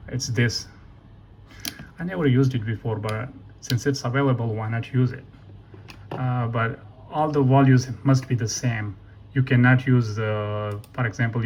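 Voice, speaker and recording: Man, mid-range voice, unscripted walkthrough, hesitant and self-correcting, slight background noise with typing. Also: mid-range voice. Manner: halting, thinking aloud, self-correcting. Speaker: tutorial presenter. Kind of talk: casual unscripted software walkthrough. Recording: noisy room with keyboard typing. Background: computer keyboard clicks, ambient noise.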